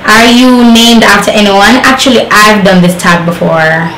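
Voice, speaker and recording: A young woman from Jamaica chats casually to her camera, rambling and restarting with plenty of fillers. Her voice sits at an everyday pitch. The room is echoey, with a faint hiss behind her. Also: moderate pace, fairly bright tone. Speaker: beauty vlogger reviewing hair.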